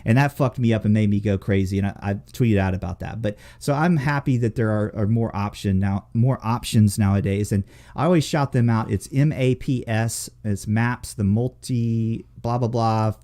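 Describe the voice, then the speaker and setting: A man with a mellow, relaxed tone hosts a casual talk show. He speaks bluntly and rambles, swearing freely as he goes. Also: fairly low pitch, normal speed, hardly any pauses. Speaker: podcast host. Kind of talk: casual chat, profanity-laden.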